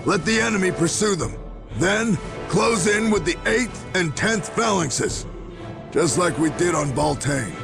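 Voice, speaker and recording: An actor playing a hard-bitten, distrustful military general in a video game, speaking in terse, commanding phrases. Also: male voice, sits high, expressive, unhurried, creaky, music in the background, exaggerated voice. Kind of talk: dramatic video game voice acting.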